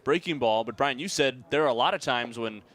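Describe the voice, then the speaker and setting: A young American man doing live sports play-by-play, describing the action in crisp detail over venue noise. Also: medium pitch, a little fast, fairly bright tone. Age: young adult. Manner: crisp detailed descriptions, voice raised on big plays. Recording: live game ambience, clear background noise. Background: sports venue sounds.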